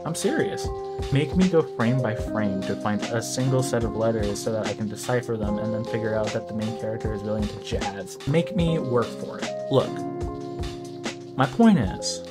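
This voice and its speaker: A young man from the United States talks through his video essay in an emphatic, opinionated way, his voice at an ordinary middle pitch. Background music plays clearly under him throughout. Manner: opinionated, emphatic, at times exasperated. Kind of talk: opinionated commentary narration.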